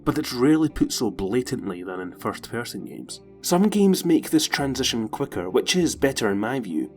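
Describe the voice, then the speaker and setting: A man delivering scripted narration as the presenter of a video essay. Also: medium pitch.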